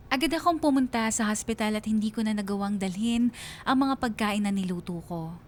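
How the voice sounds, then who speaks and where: A woman's voice: mid-range voice, a little fast, slight background noise, lightly acted. A woman playing a reflective, bereaved young woman narrating her own love story in a radio drama. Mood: sad, reflective. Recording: music behind the voice.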